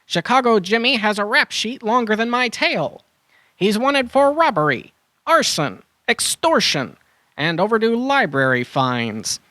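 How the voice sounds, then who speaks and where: A performer playing an eager animal adventurer in a children's audio drama, earnest and expressive. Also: mid-range voice, unhurried, no echo, studio quality. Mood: urgent.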